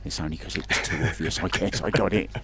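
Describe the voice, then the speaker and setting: Low-voiced chatty man commentating. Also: fast, gravelly, fairly bright tone. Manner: self-deprecating, jokey. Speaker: sports commentator. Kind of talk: chatty live pool commentary. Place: remote commentary from his own location.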